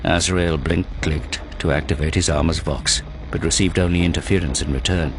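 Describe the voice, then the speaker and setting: A man narrating a war story in a grave, deliberate, dramatic scripted style. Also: extremely low pitch, steady tone, background noise. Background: prominent dramatic music.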